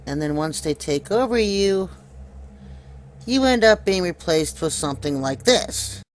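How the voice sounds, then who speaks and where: A man's voice: sits high, expressive, measured pace. A man hosting an informal video commentary, insistently pointing things out to his viewers. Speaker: video host. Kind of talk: informal conspiracy commentary over pictures.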